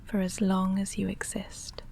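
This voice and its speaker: Woman acting a slow, hushed, lovestruck vampire roommate. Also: mid-range voice, completely flat delivery. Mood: hushed longing. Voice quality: soft, breathy, often whispering. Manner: hesitant, halting, confessional. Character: shy lovestruck female vampire roommate, confessing and self-restrained. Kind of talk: intimate ASMR roleplay monologue.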